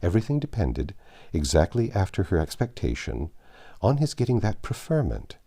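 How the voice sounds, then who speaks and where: A man reading a classic novel aloud as an audiobook narrator, with measured, deliberate phrasing. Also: low voice, wildly swooping pitch, dark tone. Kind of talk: scripted literary narration.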